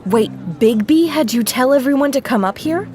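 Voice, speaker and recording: Actress as prim exasperated woman in charge, fairly high voice, swooping intonation, curt, audio drama, music behind. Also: animated, normal speed, darkish tone. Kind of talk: fan-made audio drama acting. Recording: music under the voice.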